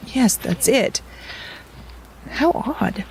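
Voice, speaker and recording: A woman's voice: medium pitch, animated, very slow, creaky, darkish tone, slight background noise, full character voice, poor audio. A woman playing a guarded, dry-witted companion to a time traveller in an audio drama, reserved and understated. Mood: uneasy.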